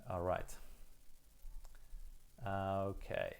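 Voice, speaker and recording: A middle-aged man from South Africa, whose first language is Afrikaans, hosts a live Q&A straight from his phone. His voice sits somewhat low, and he answers viewer questions in a relaxed, thoughtful way, full of ums and restarts. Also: very slow, vocal fry, clear, resonant tone. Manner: thoughtful, hesitant, many fillers and restarts. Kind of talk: casual live Q&A.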